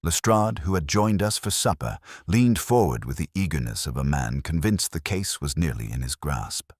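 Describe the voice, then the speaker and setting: A man narrating a classic detective story in a measured, scripted storybook style. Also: very deep voice, very animated, husky, no echo, clean studio sound. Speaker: audiobook narrator.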